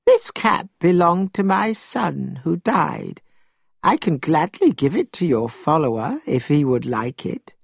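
Man voicing a high, slow old woman. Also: big pitch swings, gravelly, slightly rough, no room sound, clean studio sound, heavily acted. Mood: kindly. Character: kindly old woman, mysterious, offering help. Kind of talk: storybook character voice.

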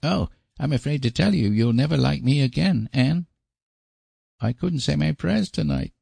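A man's voice: fairly high pitch, animated, normal speed, creaky, darkish tone, breathy edge, no echo, studio quality, voice pushed up, heavily acted. A man voicing a guilty, eager little boy in a storybook, childlike, earnest and confessional. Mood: miserable, pleading. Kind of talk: storybook character voice.

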